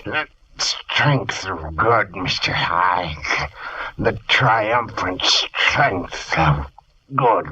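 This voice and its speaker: A sinister, defiant villain of old radio horror, with a very low, forceful voice. He speaks slowly and theatrically, curt and menacing, on a muffled, noisy old recording. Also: expressive, breathy edge, heavily acted. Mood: feverish triumph.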